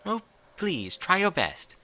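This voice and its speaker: A dainty, ladylike storybook pony, acted out by a man, speaking in a high, swooping voice with formal, theatrical phrasing. She is refined and gently prodding, with a bright tone. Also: wildly swooping pitch, slow, full character voice. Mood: coaxing. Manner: genteel, formal, theatrical diction.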